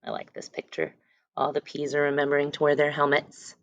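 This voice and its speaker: A woman's voice: deep voice, huge pitch swings, a little fast, gravelly, fairly bright tone, no echo. A woman teacher reading a picture book aloud to young children, stressing words and letter sounds and adding short explanations. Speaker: elementary school teacher reading aloud to class.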